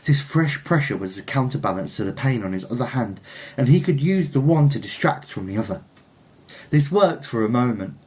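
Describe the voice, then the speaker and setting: A man's voice: mid-range voice, animated, moderate pace, darkish tone. A man reading a literary short story aloud as its narrator. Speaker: story reader.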